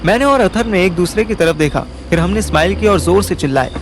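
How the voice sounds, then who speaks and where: A man's voice: sits high, expressive, a little fast, very noisy. A man playing a young schemer who narrates an audio drama in a wry, colloquial way with an Indian accent. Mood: wry narrating. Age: young adult. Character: young male schemer narrating his detective venture, wry, placating. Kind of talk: first-person audio drama narration and dialogue in Hindi-English mix.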